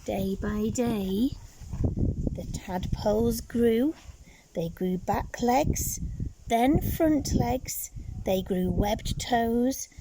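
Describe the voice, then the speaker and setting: Woman doing storytime for little kids, takes it slow, dramatic pauses, chats to the kids, bit of background noise. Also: fairly high pitch. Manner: dramatic pauses and asides to child listeners. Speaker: teacher reading a story to young children. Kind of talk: expressive picture-book read-aloud for young children.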